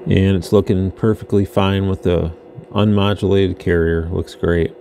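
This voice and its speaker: Man, very low voice, creaky fry, casual hands-on demo narration, faint background noise. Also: little pitch movement, measured pace, warm tone. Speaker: radio product demonstrator. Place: indoor electronics workbench.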